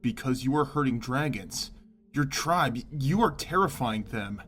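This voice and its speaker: A man plays a young sea dragon in an audio drama. The voice is mid-pitched, gentle and sorrowful, with an earnest, pained delivery over soft music. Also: lively intonation, measured pace. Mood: sad, resolute.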